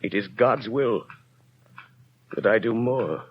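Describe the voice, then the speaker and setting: An actor playing a tormented middle-aged former convict turned mayor, talking through a brooding inner monologue in a 1930s radio drama. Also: male voice, medium pitch, takes it slowly, soft background music, lightly acted. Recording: dull lo-fi 1930s radio broadcast, faint hiss.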